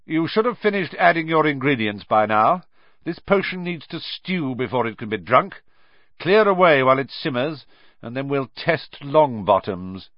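A man's voice: mid-range voice, sing-song, measured pace, no echo, muffled recording, pitched above the performer's own voice. A man voicing a cold, scornful male potions teacher with an icy, cutting delivery. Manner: icy, cutting, raised in scolding.

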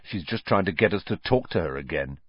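Guy doing a grumpy teen schoolboy, audiobook, blunt and snappy, pitch all over, bit dull sounding. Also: lively intonation, fairly quick, slightly rough, dry recording. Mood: resentful. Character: irritable, blunt teenage schoolboy. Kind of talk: audiobook character acting.

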